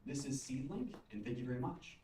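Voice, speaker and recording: A young man delivering a rehearsed startup pitch as a student founder. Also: lively intonation, brisk. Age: young adult. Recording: roomy, distant mic, faint noise.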